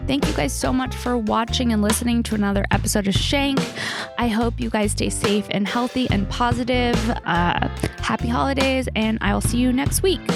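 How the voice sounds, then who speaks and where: A woman in her thirties with a creaky voice, chatting casually and candidly as a comedic podcast host, rambling and poking fun at herself. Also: fairly high pitch, normal speed, over music. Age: 30s. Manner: rambling, candid, self-mocking, casual slangy talk. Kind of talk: casual solo podcast chat, comedic storytelling.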